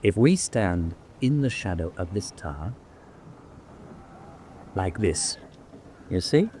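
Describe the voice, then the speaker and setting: A man's voice: deep voice, very animated, slow pace, dark tone, slight background noise, full character voice. A man playing a shrewd, confident prisoner of war, explaining things with self-assurance in a radio drama. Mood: sly, confident. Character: shrewd, confident POW plotting an escape. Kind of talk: vintage-style war radio drama acting.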